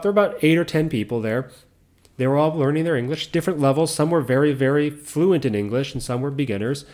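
A middle-aged man with a mixed American accent and faint Southern roots, hosting a podcast in slow, clear teaching talk for learners. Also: medium pitch. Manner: calm, explains step by step, repeats key points. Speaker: podcast host.

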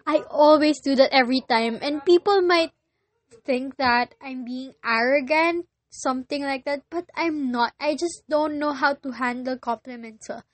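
A young woman with a Filipino accent, whose first language is Filipino, hosting a casual solo podcast and often pausing to search for words. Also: high voice, dry recording. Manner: hesitant, searching for words with many pauses. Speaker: podcast host.

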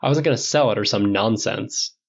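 A man voicing a dignified older man who speaks in a measured, dry, disapproving way. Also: on the low side, vocal fry, lo-fi sound. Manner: measured, stern.

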